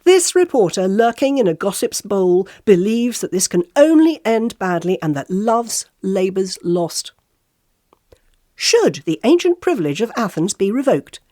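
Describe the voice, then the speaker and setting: Woman playing an animated, arch gossip reporter. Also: medium pitch, unhurried, no echo, studio quality. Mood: mock-shocked, teasing. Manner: arch, theatrical, teasing rhetorical questions. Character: gossipy, mock-shocked female tabloid website reporter. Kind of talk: scripted gossip-column narration.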